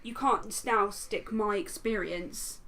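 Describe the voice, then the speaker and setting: A woman's voice: medium pitch, measured pace, bright, forceful tone. A woman in casual podcast chat, speaking in an emphatic, opinionated and sweary way. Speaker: podcast panelist.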